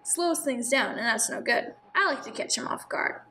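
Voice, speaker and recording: A woman playing a feisty, chatty elderly shopkeeper in a radio play, with a folksy, brisk manner. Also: a little high, expressive, sharp tone. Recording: clear background noise, room ambience.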